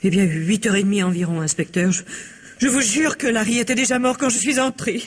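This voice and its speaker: Casting: female actor as flustered society woman, slightly husky, nervous protests, vintage radio mystery. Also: mid-range voice, fairly quick, close to an everyday voice. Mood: panicked pleading. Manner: hesitant, stammering, protesting. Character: flustered, pleading society lady under suspicion.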